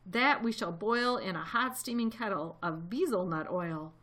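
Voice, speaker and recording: A woman's voice: medium pitch, unhurried, a bit bright. A woman voicing a bossy, scornful big mother kangaroo from a storybook, in a haughty, scolding, snappish manner. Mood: threatening. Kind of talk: read-aloud storybook character voice.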